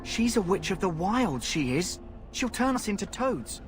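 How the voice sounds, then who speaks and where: An actor playing a cheeky, superstitious rogue in a fantasy video game, with a folksy, sardonic manner. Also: male voice, high-pitched, sing-song, measured pace, soft background music. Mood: alarmed. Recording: noisy outdoor game ambience.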